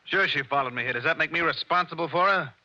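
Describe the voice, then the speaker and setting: An actor playing a gruff, defensive musician, in 1940s radio acting. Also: male voice, a little high, quick pace, pushed and bright, muffled recording.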